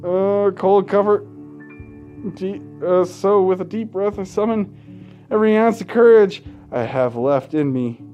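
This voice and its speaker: A man's voice: high voice, a little slow, lo-fi sound. A man reading a horror game aloud in a dramatic style as a let's play host, breaking off for crude, profane, mocking asides. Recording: music behind the voice. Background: game music.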